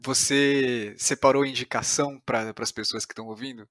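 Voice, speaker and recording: A man's voice: mid-range voice, fairly even intonation, moderate pace, a bit bright, dry recording, studio quality. A Brazilian man hosting a podcast, moving between reflective narration and interview talk. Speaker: podcast host.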